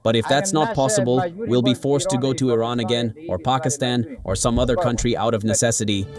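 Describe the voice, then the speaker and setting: A man's voice: mid-range voice, fairly even intonation, a little fast, recorded from a distance. A man being interviewed speaks hesitantly and sounds uncertain. He is set back from the mic, and music plays behind him.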